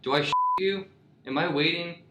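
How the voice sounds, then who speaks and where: A man's voice: fairly high pitch, normal speed, lightly acted. A man playing a defensive, profane, swaggering husband in a satirical radio play, emphatic and repetitive, throwing questions back. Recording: echoey room with loud noise.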